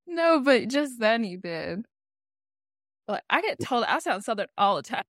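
A woman's voice: a little high, unhurried, no room sound. Chatty young woman gossiping, dry sound. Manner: animated gossipy rambling with many "like"s. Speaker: podcast co-host. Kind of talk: casual chat.

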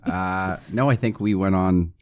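A man of thirty, a podcast guest chatting in a relaxed, joking way. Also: deep voice, measured pace, smooth, no room sound, studio quality. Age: 30s. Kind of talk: casual chat.

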